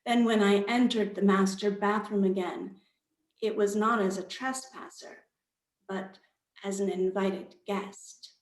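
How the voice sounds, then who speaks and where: A roomy, echoing recording of a woman at a literary event. She is an author with a mid-pitched voice, presenting her own writing and experience to the event. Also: fairly even intonation, measured pace. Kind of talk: reading own fiction aloud, then panel talk. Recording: roomy echo.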